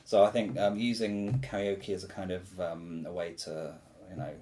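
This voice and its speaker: A man's voice: sits low, little pitch movement, moderate pace, mellow, a little hiss or hum. A man, a performance artist, chatting casually in an interview, rambling and self-deprecating as he tells his stories.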